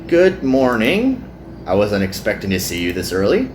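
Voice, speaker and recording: A man's voice: on the low side, wildly swooping pitch, normal speed, echoey room, slight background noise. A man voicing an earnest, perky young magical girl from an anime game.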